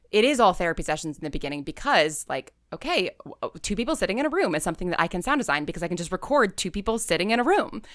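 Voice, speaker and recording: An American woman with a bright, crisp edge and a slightly low pitch. She chats casually as a guest, explaining through little stories and voiced thoughts. The sound is close and dry. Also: no echo. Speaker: podcast guest, audio drama writer and creator. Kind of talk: casual interview conversation.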